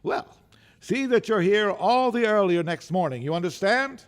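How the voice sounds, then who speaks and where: A man's voice: high-pitched, animated, normal speed, slight background noise. An actor playing a grumpy, miserly older businessman, curt and scornful, in an old-time radio drama style. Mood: grudging.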